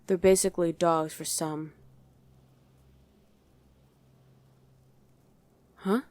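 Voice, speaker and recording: A woman voicing a guarded demon who was once a human mother, in an intimate ASMR roleplay with halting, emotional delivery. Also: on the low side, steady tone, a little mellow, lightly acted. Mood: matter-of-fact. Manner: halting, emotional, speaks directly to one listener.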